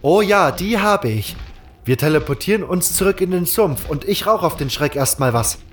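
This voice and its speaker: A man's voice: a little high, animated, moderate pace, pitched above the performer's own voice, natural voice. A man voicing a jittery, grumbling novice and reluctant sidekick in a fantasy audio drama, whiny and sarcastic. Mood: sarcastic, grudging.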